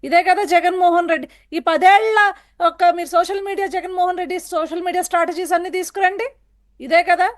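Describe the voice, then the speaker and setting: A woman with a very high, piercing pitch. She argues as a guest on a political TV panel. Her delivery is forceful and accusatory, full of rhetorical questions. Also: steady tone, fast. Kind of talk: heated television political debate.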